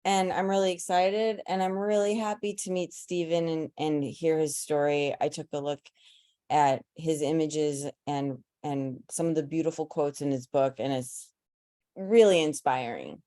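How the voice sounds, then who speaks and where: A woman speaking as an interview guest in an earnest, unhurried way. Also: low-pitched, steady tone, warm tone, dry recording, clean studio sound. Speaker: guest, photographer's subject. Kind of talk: casual interview talk.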